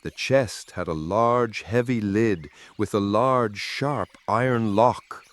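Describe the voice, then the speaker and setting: A man narrating a dark fairy tale in a dramatic storytelling style. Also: fairly low pitch, takes it slowly. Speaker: audiobook narrator.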